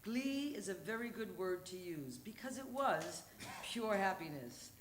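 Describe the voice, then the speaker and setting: A woman of medium pitch performs a memoir piece at a live storytelling show. Her delivery is expressive and emphatic, and the recording is roomy with a faint noise behind it. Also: measured pace. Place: theatre stage at a live show.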